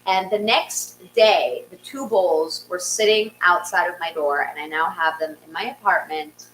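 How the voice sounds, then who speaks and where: Female comedy host, animated and conversational, very bright voice in an echoey room. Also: on the low side, unhurried. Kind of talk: casual comic storytelling and hosting. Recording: roomy echo, faint noise.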